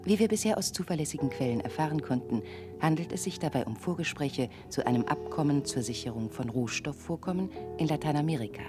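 Guy doing a stiff official radio newsreader, measured, music behind him. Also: fairly high pitch, animated, close to an everyday voice. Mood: neutral, official. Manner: formal, measured, impersonal. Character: formal radio newsreader announcing government news. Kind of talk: scripted news reading.

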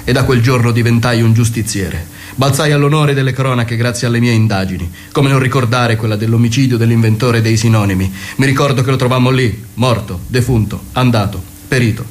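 Man, medium pitch, comic monologue, mock-noir narrator, theatrical, deadpan. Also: a little hiss or hum.

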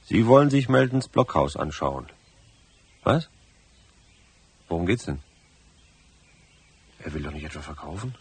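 An actor playing a lonely, hard-drinking cabin caretaker in a German radio drama, blunt and colloquial with a gravelly voice. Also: male voice, sits low, sing-song, a little slow, long pauses, darkish tone, no echo, full character voice. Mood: gruff, curious. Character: lonely, hard-drinking limping male cabin caretaker, rough and plain-spoken.

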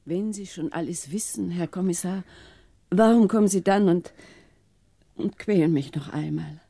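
A woman's voice: lowish voice, moderate pace, vocal fry, warm tone, dry recording, studio quality. An actress playing a weary, reserved bereaved mother in a 1960s German radio crime drama, speaking hesitantly. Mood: pained. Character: weary, sorrowful bereaved mother, reserved middle-aged lady.

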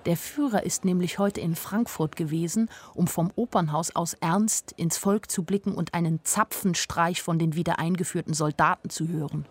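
A woman's voice: lowish voice, moderate pace, hardly any pauses, husky. An actress playing a weary, frank young woman who narrates her life in a radio play. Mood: uneasy.

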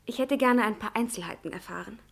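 A woman's voice: mid-range voice, fast, vocal fry, breathy edge. An actress playing an earnest, persistent young woman visitor in a radio play, polite and insistent. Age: young adult. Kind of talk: 1950s radio play acting.

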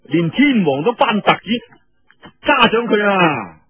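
Man acting brash drunken young warrior, swooping high. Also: sing-song, a little slow, highs cut off. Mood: scolding. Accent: Cantonese. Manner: stammering, blustering, exclaiming. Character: drunk, brash, devil-may-care young male rebel warrior.

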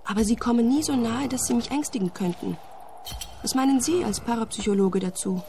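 An actress playing a calm, matter-of-fact young woman living alone in a haunted house. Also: fairly high pitch, fairly quick, a little hiss or hum, natural voice. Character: young woman tenant, calm, unafraid of ghosts.

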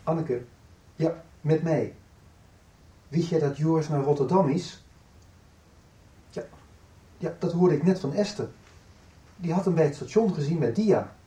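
A man plays an absent-minded middle-aged father in a radio soap, heard at a distance in an echoey space. His voice is mellow, and he responds hesitantly. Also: a little high, unhurried, long pauses, lightly acted. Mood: distracted, mild. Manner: distracted, hesitant. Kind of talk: Dutch radio soap acting. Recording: echoey, far from the mic.

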